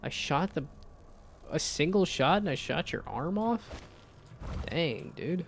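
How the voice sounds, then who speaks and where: Laid-back man with a gravelly, nasal voice. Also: on the high side, sing-song, takes it slowly, a little hiss or hum. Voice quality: slightly nasal; young-sounding. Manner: laid-back, rambling with restarts. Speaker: streamer. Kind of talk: casual gameplay chat.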